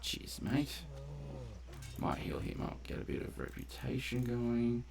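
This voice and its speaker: A man talks through a game in a casual, unhurried way, with a lively, swinging pitch. His voice often drops into a creaky fry as he mutters asides and reacts aloud. There is some background noise behind him. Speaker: gamer narrating a playthrough. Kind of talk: casual gameplay commentary.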